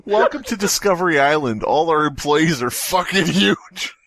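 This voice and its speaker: A man's voice: a little high, animated, normal speed, fairly bright tone, no room sound. A man co-hosting a comedy podcast, riffing playfully and acting out little bits in casual banter. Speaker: podcast host.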